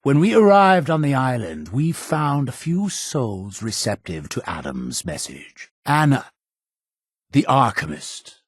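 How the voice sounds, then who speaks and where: Imperious cult leader, gravelly and husky, solemn preacher-like delivery, pious, guarded, video game dialogue. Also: male voice, mid-range voice, dry recording, clean studio sound, exaggerated voice. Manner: solemn, deliberate, preacher-like oratory with dramatic pauses.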